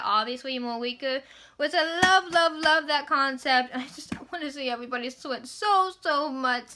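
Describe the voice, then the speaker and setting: A young woman with a high-pitched, bright voice talks casually about books. Her delivery is gushing and emphatic, in the slangy, informal way of an online fangirl. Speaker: book reviewer vlogger. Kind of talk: casual book chat to camera.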